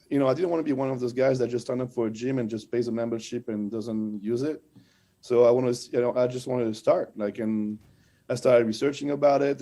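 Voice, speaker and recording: A man with a French accent speaks in a mellow, relaxed voice at a medium pitch. He is an interview guest, recounting his experiences in loose, conversational English. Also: gravelly. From France and French-speaking Switzerland. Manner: conversational storytelling with frequent "like". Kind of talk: casual interview chat.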